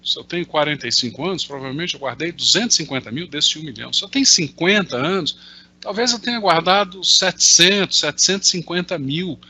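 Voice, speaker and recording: Brazilian guy in his 60s on a video call, gravelly and husky, stops and starts a lot. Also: a little high, animated, brisk, pushed and bright. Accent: Brazilian Portuguese. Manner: hesitant, many fillers, explains with worked examples. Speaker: invited market analyst answering questions. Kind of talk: explanatory talk in a virtual Q&A.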